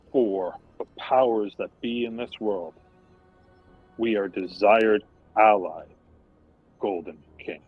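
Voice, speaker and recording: A man playing a proud noble envoy in a tabletop game, declaiming grandly and deliberately in short phrases. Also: on the low side, no room sound, narrow, tinny audio.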